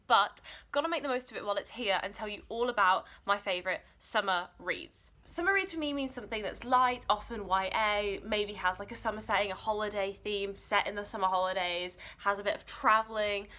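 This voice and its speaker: A woman's voice: mid-range voice, pushed and bright. A young woman in her twenties giving enthusiastic, informal recommendations in a casual, chatty vlog style. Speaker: book vlogger.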